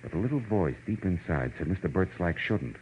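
An actor playing a hard-boiled, wry private detective in old-time radio noir, speaking in a tough, clipped way. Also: male voice, low voice, expressive, brisk, slight background noise. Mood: tense, wry. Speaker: actor narrating and starring in a radio drama. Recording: dull old radio broadcast with music.

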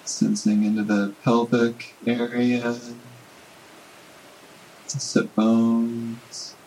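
A man leading a guided body scan meditation in a gentle, unhurried manner with long pauses. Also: mid-range voice, flat delivery, a little hiss or hum, poor audio.

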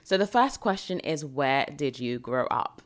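Twenty-something woman hosting her own podcast, low voice, chatty and rambly, laughs things off a bit. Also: very animated, measured pace. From Jamaica, raised in the UK from age seven. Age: 20s. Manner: chatty and reflective, rambling asides with light laughs. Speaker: podcast host. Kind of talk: solo podcast Q&A, casual chat.

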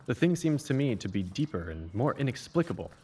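A man playing an earnest, admiring Victorian gentleman, a detective's loyal companion, formal and questioning, in a dramatised audiobook. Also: mid-range voice, sing-song, brisk, lightly acted. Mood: earnest admiration. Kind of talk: dramatised literary audiobook dialogue. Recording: faint background noise.

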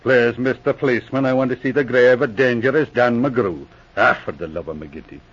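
An actor playing a grumbling, sly old police veteran with an Irish accent and a wry, blarneying way of talking in a radio drama. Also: male voice, mid-range voice, a little fast, breathy edge, lightly acted. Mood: grumbling, exasperated. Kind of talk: 1940s radio drama acting. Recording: dull vintage radio recording.

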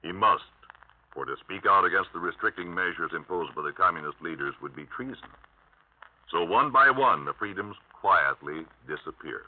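A man with an American accent reading a scripted 1950s radio announcement in a solemn, oratorical way. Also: low-pitched. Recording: tinny, band-limited old radio broadcast.

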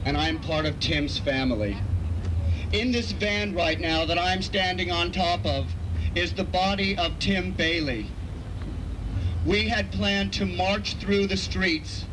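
A man with a high voice declaiming defiantly at a street protest, caught in an archival outdoor recording. Traffic and street noise carry clearly behind him. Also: slow pace. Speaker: activist speaking at a street protest. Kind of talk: public protest speech. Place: outdoors on a street, standing on a van.

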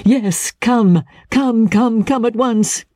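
A woman reading a dramatic audiobook, voicing an impassioned gentleman who pleads urgently and haltingly with his beloved, in a husky voice. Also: fairly high pitch, no room sound, clean studio sound, voice pushed up. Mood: urgent pleading.

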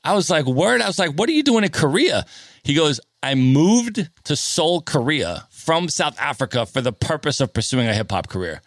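A man hosting a podcast, telling travel stories in a lively, casual chat and often adding "man" and "right?". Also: fairly high pitch, moderate pace, no room sound, studio quality. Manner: storytelling, animated, says "man" and "right?" often. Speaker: podcast host.

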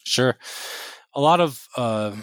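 A man's voice: medium pitch, expressive, takes it slowly, smooth, dry recording. Congested man interviewing, dry close sound. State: stuffed up with a head cold. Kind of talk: conversational business interview.

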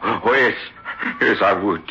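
A desperate, domineering ventriloquist in an old radio horror play. He blusters in a lowish, very tense and forceful voice, agitated and emphatic. The sound is dull, like an aged broadcast. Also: measured pace. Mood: gloating threat. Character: frightened, desperate male ventriloquist, domineering and unravelling. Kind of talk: 1960s radio horror drama acting.

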